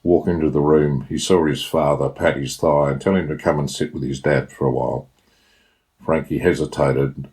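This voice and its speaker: Very deep-voiced Australian male storyteller. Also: darkish tone. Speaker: author reading own short story. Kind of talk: scripted story narration.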